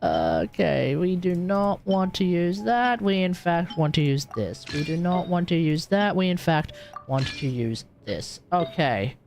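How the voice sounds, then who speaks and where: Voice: mid-range voice, big pitch swings, measured pace, darkish tone, a little hiss or hum. A game streamer rambling casually to themself and the chat while commentating live play. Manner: rambling, talks to self and chat. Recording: game music behind the voice.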